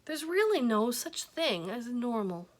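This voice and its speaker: A woman's voice: a little high, very animated, unhurried. A woman reading aloud, voicing a friendly young man in a children's storybook.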